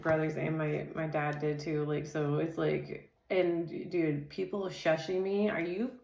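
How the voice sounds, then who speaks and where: A woman's voice: deep voice, flat delivery, a little slow, vocal fry, noisy background. Chatty woman reading tarot, echoey room. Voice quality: says she has a naturally loud voice. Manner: chatty, loose, filler-heavy, says "dude" and "like" constantly. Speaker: tarot reader. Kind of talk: casual tarot reading and rambling chat.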